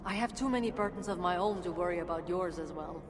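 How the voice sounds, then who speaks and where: A woman's voice: on the low side, fast, a loud crowd, lightly acted. Woman acting a weary dwarf leader, long reverb. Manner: guarded, sardonic, solemn. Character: weary, bitter female dwarf leader of a refugee shelter. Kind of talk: dramatic video game voice acting. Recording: long reverberant hall, noisy ambience.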